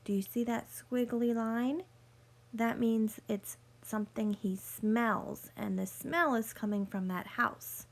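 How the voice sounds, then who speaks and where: High-voiced woman reading to children. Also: steady tone, vocal fry. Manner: deliberate, explanatory, addressing young listeners with questions. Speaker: teacher reading a picture book aloud to children. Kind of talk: read-aloud storytelling with teaching asides.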